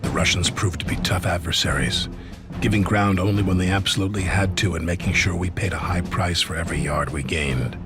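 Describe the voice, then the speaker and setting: An actor playing a sober soldier who narrates a war story in a video game, grave and measured. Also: male voice, low-pitched, steady tone, lightly acted. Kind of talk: scripted dramatic war narration. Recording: loud music under voice-over.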